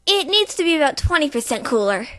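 A woman's voice: sits high, very animated, fast talker, fairly bright tone. A woman voicing a brash, boastful tomboy pegasus pony girl in a fan voice reel. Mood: cocky.

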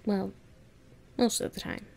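A woman acting a clumsy, anxious young woman in an audio roleplay, murmuring and thinking aloud in a halting, breathy way. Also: darkish tone, natural voice. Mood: reasoning, planning.

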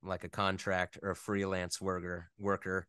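Smooth, low-voiced man in his thirties. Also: completely flat delivery, normal speed, fairly bright tone, no room sound, studio quality. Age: 30s. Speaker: interview guest, voice actor. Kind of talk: casual interview chat.

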